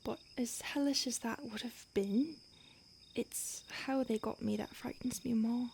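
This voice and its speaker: A woman's voice: on the high side, fairly even intonation, a little slow, gravelly, a little mellow, slight background noise. A woman whispering as an ancient vampire. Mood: hushed urgent. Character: ancient female vampire on the run, secretive, urgent. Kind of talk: audio roleplay.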